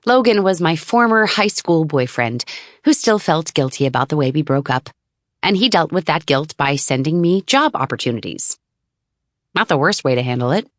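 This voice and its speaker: Low-voiced woman narrating an audiobook. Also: no echo, clean studio sound. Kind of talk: scripted first-person novel narration.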